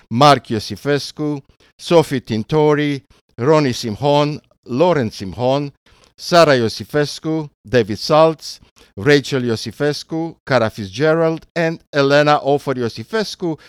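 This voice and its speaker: An older man from Romania hosts a podcast, reading his script in a clear, resonant voice with a Romanian accent. The recording is dry and close, and his delivery is formal and deliberate. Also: mid-range voice, no echo. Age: 60s or older. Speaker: podcast host. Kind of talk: scripted narration.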